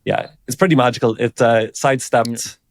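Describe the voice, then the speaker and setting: A man giving an informal technical explanation as an interview guest, thinking aloud in a halting way. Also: medium pitch, steady tone, dry recording. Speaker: engineering director, interview guest.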